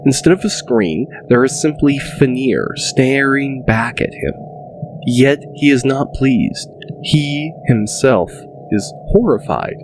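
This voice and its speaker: A male narrator reads a dramatic fantasy audiobook. His delivery is slow and deliberate, at an average pitch, with music playing clearly behind him. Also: animated, poor audio. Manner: deliberate, descriptive.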